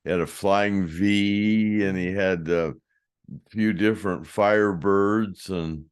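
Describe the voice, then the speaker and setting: Older man, deep voice, very low pitch, casual rambling storyteller. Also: steady tone, takes it slowly, clean tone. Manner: rambling, trailing off and restarting. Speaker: host, former band roadie.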